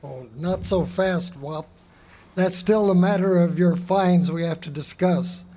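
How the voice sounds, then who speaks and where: An actor playing a crooked, bullying small-town sheriff in a live radio drama, gruff, folksy and domineering. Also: male voice, high voice, measured pace, dark tone. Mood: menacing. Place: live theater stage. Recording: noisy live amphitheater stage recording.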